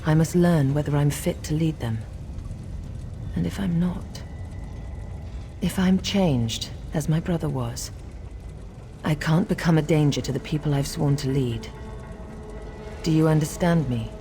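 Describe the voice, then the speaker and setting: An actress playing a solemn, resolute elven queen in a fantasy game, speaking in a formal, stately, measured way. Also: low-pitched, warm tone, slight background noise. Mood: resolute, urgent. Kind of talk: scripted fantasy game voice acting. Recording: music behind the voice.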